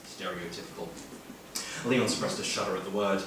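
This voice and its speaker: A man's voice: low-pitched, a little fast, a bit bright. An Australian man reading humorous fiction aloud at a live event, with wry, jokey asides. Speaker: author reading at a literary event. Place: indoor event venue, filmed reading. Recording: echoey room, distant mic, faint noise.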